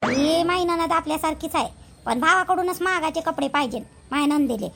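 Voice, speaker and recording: A woman's voice: sits high, normal speed, vocal fry, fairly bright tone, slight background noise. A woman voicing a chatty, aggrieved village housewife in a cartoon, speaking with a Varhadi Marathi accent from Vidarbha in a plaintive, rambling way. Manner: gossipy, plaintive, repetitive rambling. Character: chatty, aggrieved village housewife complaining about her sister-in-law. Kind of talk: amateur cartoon dialogue in village dialect.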